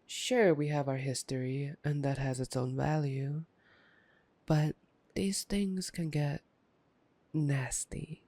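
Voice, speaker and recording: A woman's voice: low voice, steady tone, takes it slowly, long pauses, dark tone, no room sound, full character voice. A woman playing a taunting, playful, predatory vampire in an ASMR roleplay, with a teasing, mocking drawl. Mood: smug, menacing. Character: taunting, playful, predatory vampire gloating over a captive hunter. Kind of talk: ASMR roleplay audio drama.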